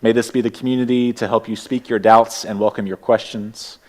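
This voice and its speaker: A man with a Texas accent leading a church service, welcoming people and giving opening words in a gentle, unhurried way. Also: lowish voice, flat delivery, rough recording. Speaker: worship leader. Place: church sanctuary.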